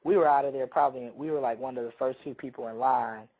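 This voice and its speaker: A man co-hosting a radio talk show, telling a long, rambling story while hoarse from a cold. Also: medium pitch, steady tone, fast talker, narrow, tinny audio. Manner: rambling, self-interrupting storyteller. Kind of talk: casual chat, storytelling.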